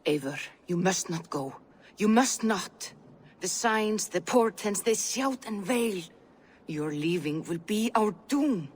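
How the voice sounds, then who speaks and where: An actress playing an ominous Viking seer in a video game, delivering prophecy in a portentous, incantatory and dramatic way. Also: female voice, mid-range voice, expressive, takes it slowly, a little hiss or hum. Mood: urgent pleading. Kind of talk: video game dialogue acting.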